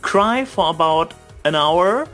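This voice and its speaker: A man rambling casually as a vlogger, telling things in an animated, emphatic way and stretching out some words. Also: on the high side, slow, soft background music.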